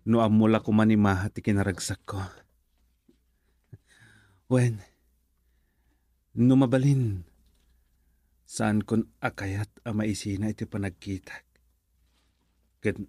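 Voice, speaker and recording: A man's voice: fairly low pitch, steady tone, slow, pauses often, a little mellow, dry recording. A man with a Filipino accent acting in a radio drama as an earnest son in love, eager to marry. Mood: wistful. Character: adult son in love, eager to marry, reassuring his mother.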